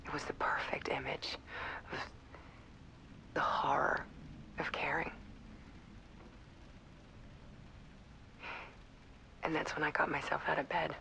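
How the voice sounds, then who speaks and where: A woman's voice: deep voice, level tone, vocal fry, full character voice, rough recording. Whispering, haunted woman photographer. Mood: wistful, haunted. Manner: hushed, halting, brooding. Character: haunted, obsessive woman photographer confessing her dread. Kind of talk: dramatic scripted monologue.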